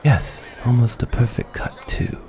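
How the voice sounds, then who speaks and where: A man's voice: medium pitch, a little slow, darkish tone, close to an everyday voice. A man playing an obsessive mad scientist and army surgeon in a horror audio drama, clinical and commanding, with gleeful fascination. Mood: cold, clinical.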